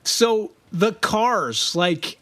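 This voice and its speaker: A man with a high voice, co-hosting a podcast in a casual chat and telling stories with lots of laughter. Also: extremely slow, no echo. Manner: animated, laughs often, trails off and restarts. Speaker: podcast co-host.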